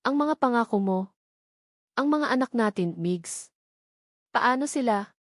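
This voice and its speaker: A woman voicing a distraught young nurse in a story, pleading in a desperate, halting manner. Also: on the high side, animated, dry recording, clean studio sound, pitched above the performer's own voice. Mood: tearful, pleading. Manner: stammering, desperate.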